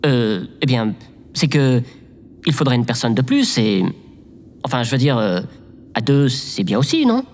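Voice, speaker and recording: A man's voice: mid-range voice, big pitch swings, a little slow, long pauses, darkish tone. A man playing a timid, devoted and awkward presidential assistant in an audio drama, deferential and easily flustered, prone to stammering. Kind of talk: scripted audio drama acting. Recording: long reverb, clear background noise.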